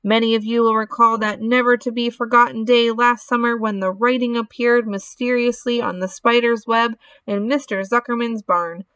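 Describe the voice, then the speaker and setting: A woman voicing a pompous fairground loudspeaker announcer from a storybook, booming and ceremonious in delivery. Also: smooth, no room sound, lightly acted.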